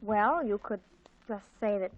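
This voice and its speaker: A woman playing an excitable, bossy teenage girl in a 1940s radio comedy, dramatic and wheedling. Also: medium pitch, a little slow, lightly acted. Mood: excited, bossy. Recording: muffled 1940s radio recording.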